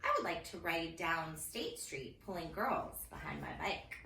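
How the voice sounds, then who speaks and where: A woman reading a children's storybook aloud in a silly, theatrical, expressive way, like a teacher at story time. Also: low voice, a little slow, gravelly. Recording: roomy echo with faint background noise.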